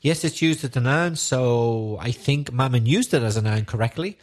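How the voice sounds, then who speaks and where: A man's voice: mid-range voice, animated, normal speed. Encouraging male podcast co-host. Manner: friendly, encouraging, conversational. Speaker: podcast co-host, English teacher. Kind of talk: teaching podcast chat.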